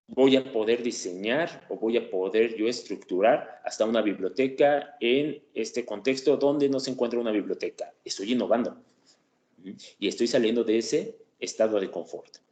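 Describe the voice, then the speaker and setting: A man gives an explanatory lecture on education, his voice sitting on the low side. He keeps it conversational, dropping in filler sounds and little tag questions to check that listeners follow. The room around him is echoey and reverberant. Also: darkish tone. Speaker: teacher presenting a class topic.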